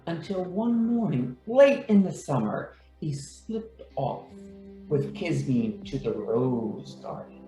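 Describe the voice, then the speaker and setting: A man's voice: fairly high pitch, dark tone. A woman narrating an old-time radio style drama as a wry, dreamy storyteller. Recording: very roomy sound. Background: faint music.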